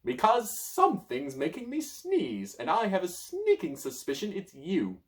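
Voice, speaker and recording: A man's voice: high voice, huge pitch swings, unhurried, pitched above the performer's own voice, heavily acted. A man voicing a grouchy, boastful old male wizard stuck in a bush, reading a storybook aloud. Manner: pompous, cranky, sneezy.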